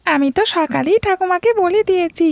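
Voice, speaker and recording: A woman voicing an earnest, affectionate little boy in a cartoon story, with a squeaky, creaky voice. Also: brisk, no echo. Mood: eager, earnest. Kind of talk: cartoon child-voice acting.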